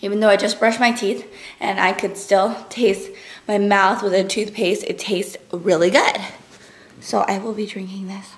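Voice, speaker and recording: A young woman in her twenties chatting to a handheld camera at arm's length, a little raspy from just waking, upbeat with many fillers. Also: medium pitch, a bit bright. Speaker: vlogger. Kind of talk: casual vlog chat to camera. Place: apartment rooms, gym and car. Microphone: handheld fisheye action camera at arm's length.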